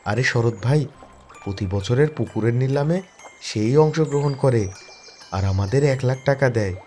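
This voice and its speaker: A man's voice: mid-range voice, normal speed, darkish tone, soft background music, natural voice. A man voicing a poor village fisherman in a cartoon, complaining in a resigned way.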